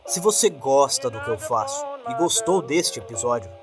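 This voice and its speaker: Lively podcast host outro. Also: male voice, mid-range voice, moderate pace. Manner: friendly, direct appeal to listeners. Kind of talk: channel outro asking for support. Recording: music clearly under the voice.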